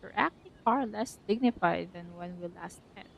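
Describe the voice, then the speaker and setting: A woman streamer reading dialogue choices and tossing in quick quips while she plays. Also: mid-range voice, gravelly, heavy background noise. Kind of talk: casual game-stream reactions. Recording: game music playing behind.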